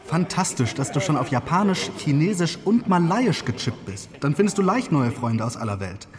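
A man's voice: fairly high pitch, big pitch swings, moderate pace, big-room sound, crowd noise. A man playing a boastful, doting father in a children's radio play, with a breezy, showy manner. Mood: eager, bossy. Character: boastful, doting father, newly arrived on space ark.

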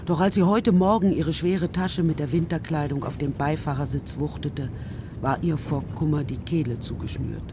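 A woman's voice: low voice, normal speed, background noise. A woman reading scripted storybook narration as the narrator of a children's radio drama.

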